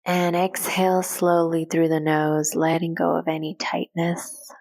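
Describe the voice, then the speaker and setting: A woman with a slightly low-set voice leads a guided meditation. Her delivery is gentle and calm. She gives soft instructions in short, measured phrases and never hurries. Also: gravelly, low-quality recording.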